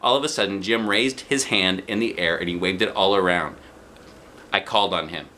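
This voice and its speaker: A man reading a children's book aloud with expressive narration. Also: deep voice, moderate pace. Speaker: book reader.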